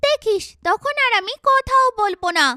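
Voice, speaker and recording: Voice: very high voice, expressive, moderate pace, sharp tone, dry recording, studio quality, full character voice. A performer voicing an earnest, chatty young schoolgirl in a children's cartoon, with exaggerated, emphatic childlike delivery. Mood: insistent.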